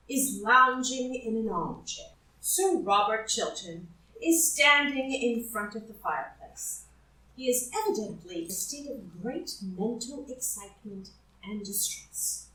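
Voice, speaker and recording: A roomy, distant recording of a woman narrating a play script. Her voice is bright and lively in pitch, with an unhurried pace. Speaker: stage directions narrator. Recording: roomy echo, far from the mic.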